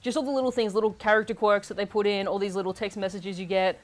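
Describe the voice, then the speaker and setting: Aussie woman chatting about a game, talks quick, really keen on it. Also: mid-range voice, fairly even intonation. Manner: enthusiastic. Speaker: video game show co-host and reviewer. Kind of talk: conversational game review.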